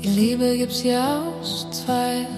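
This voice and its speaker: A woman singing a slow, soft ballad with drawn-out lines. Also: a little high, fairly even intonation. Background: guitar music.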